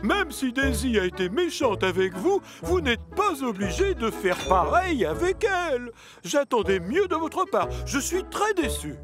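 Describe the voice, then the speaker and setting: A man voicing a small male steam engine in a French cartoon dub, measured and scolding. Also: very high voice, very animated, music in the background, heavily acted. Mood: stern.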